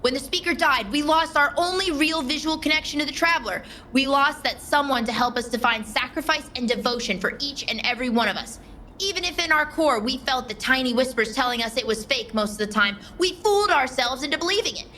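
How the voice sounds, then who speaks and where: A woman playing a defiant, impassioned soldier in an audio drama, speaking with forceful, emphatic indignation. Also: sits high, animated, fairly quick, a little hiss or hum. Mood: anguished, angry. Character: defiant, impassioned female soldier guardian standing her ground.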